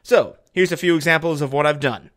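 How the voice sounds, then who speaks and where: A man's voice: a little high, a little fast, fairly bright tone. A man, an amateur voice actor, delivering casual scripted narration for a voice reel.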